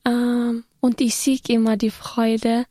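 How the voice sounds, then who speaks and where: A woman guest in a radio interview, explaining simply and conversationally with halting, careful phrasing. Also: a little high, monotone, warm tone, no echo.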